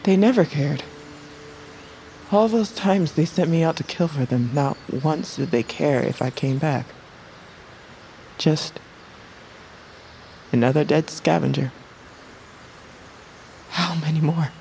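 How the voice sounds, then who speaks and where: Low-voiced, weary, bitter assassin woman. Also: takes it slowly, gravelly, pauses often, dark tone, slight background noise, exaggerated voice. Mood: bitter, resigned. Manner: terse, grave. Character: weary, bitter young female assassin of a forest tribe. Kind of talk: audio drama acting.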